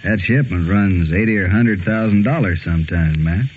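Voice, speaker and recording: A man with an American accent playing a gruff, blunt man of the Old West in a 1950s radio western. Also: low voice, normal speed, lightly acted. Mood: worried. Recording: tinny noisy 1950s radio recording.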